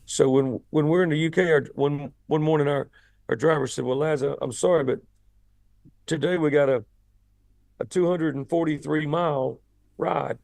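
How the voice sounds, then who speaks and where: A man with a raspy voice, a rock singer chatting casually as an interview guest, often repeating words as he starts his sentences. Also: fairly high pitch, fairly even intonation, normal speed, a little mellow, no room sound. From United States.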